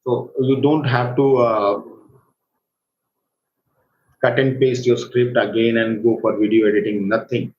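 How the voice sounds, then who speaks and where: A man with an Indian accent giving a live step-by-step software tutorial as an instructor, haltingly, often checking for agreement with short tag questions. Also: medium pitch, flat delivery. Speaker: workshop instructor. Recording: echoey room, dull lo-fi sound, faint noise.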